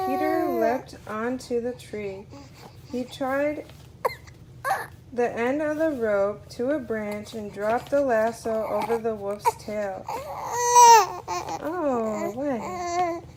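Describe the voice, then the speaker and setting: A woman reading a children's story aloud to a small child in an expressive way, putting on voices for the characters. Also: a little high, background noise.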